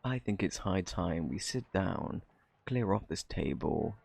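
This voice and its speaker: An actor playing a smug, flirty thief in a British accent, teasing and coy, in an ASMR roleplay. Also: male voice, deep voice, lively intonation, unhurried, vocal fry, a little mellow, dry recording, heavily acted. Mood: flirtatious, teasing. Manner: teasing, drawling, theatrically coy.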